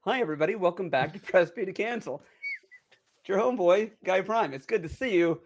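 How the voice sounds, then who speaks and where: A man's voice: high voice, animated, a little fast. A man hosting a gaming podcast with casual, jokey banter and mock-grand flourishes. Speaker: podcast host.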